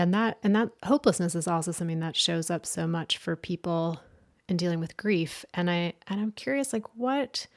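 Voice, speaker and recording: A woman's voice: fairly low pitch, vocal fry. A woman hosting a podcast interview, reflecting thoughtfully and asking follow-up questions. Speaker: podcast host. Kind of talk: interview conversation.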